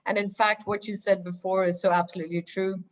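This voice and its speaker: A woman from Singapore speaking on a webinar panel as a school founder, lively and chatty with many fillers. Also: mid-range voice, a little fast. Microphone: video call. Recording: dull lo-fi video call audio.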